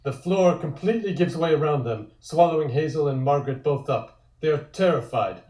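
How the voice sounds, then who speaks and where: A man's voice: fairly high pitch, brisk, darkish tone. A man narrating scene directions from a comic script at a table read. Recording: echoey room.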